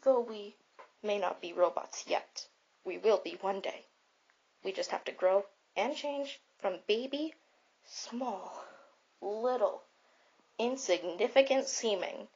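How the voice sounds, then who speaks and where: In a dull lo-fi recording, a woman plays a gentle robot mentor giving comfort in an online roleplay. The voice is husky and mid-pitched. It moves in halting, repeated phrases, earnest and encouraging.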